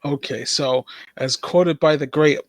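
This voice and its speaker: A man chats as a player in a tabletop game, loose and slangy. His voice is slightly high. The recording is dry and close. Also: steady tone, a little slow, dry recording. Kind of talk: casual slangy game chat.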